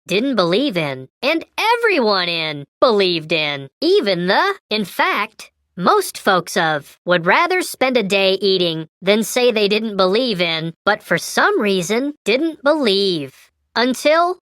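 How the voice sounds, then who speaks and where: A perky little Christmas elf tells children's stories for a talking toy. The delivery is animated and singsong, with a bright, forward tone and unhurried phrasing. The sound is close and dry. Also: female voice, mid-range voice, dry recording. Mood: merry storytelling. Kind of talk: scripted children's storytelling and toy prompts.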